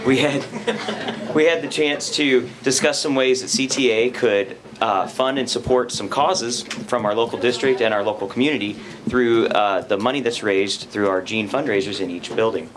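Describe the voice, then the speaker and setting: A man's voice: medium pitch, background noise. A man in his thirties, a teachers' association representative, giving an enthusiastic, earnest report to a school board. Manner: enthusiastic, earnest, standing while speaking. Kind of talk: spoken report at a board meeting. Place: school board meeting room, indoors.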